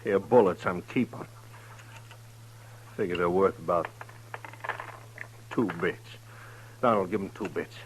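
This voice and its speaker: A low, curt male voice with a rural American accent, as a domineering frontier tough. He is hostile and commanding, in a lightly noisy vintage radio drama. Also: measured pace, long pauses. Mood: menacing. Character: hostile, domineering young rural frontier man, eldest brother. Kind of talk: 1950s radio western acting. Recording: noisy old radio recording.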